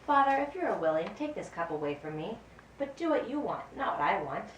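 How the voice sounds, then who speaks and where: An earnest, commanding Jesus in a Bible puppet play, acted out by a woman. The delivery is solemn and drawn out, swinging widely in pitch, and it sounds roomy and far from the mic. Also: big pitch swings, a little fast, natural voice. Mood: pleading. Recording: roomy, distant mic with faint noise.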